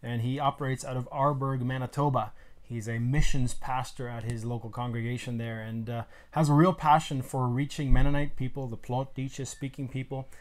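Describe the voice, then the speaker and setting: A man in his thirties hosts a podcast in a relaxed, chatty way. His voice sits at a middling pitch. He is recorded indoors in a small wood-paneled room. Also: moderate pace. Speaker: podcast host. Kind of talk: casual conversational interview.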